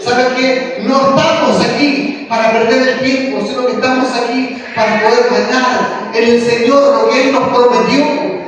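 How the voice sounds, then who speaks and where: A man's voice: very high-pitched, steady tone, a little slow, hardly any pauses. A male pastor preaching a sermon in a church, full of rhetorical questions and rising to impassioned calls. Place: church hall. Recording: echoey hall from far off.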